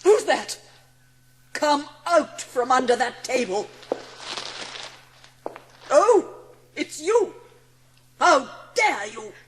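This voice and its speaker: A woman playing a cruel, cold-hearted schoolmistress in an audiobook drama, imperious and scornful, with drawn-out emphasis. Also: high-pitched, big pitch swings, slight background noise, exaggerated voice. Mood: angry commanding.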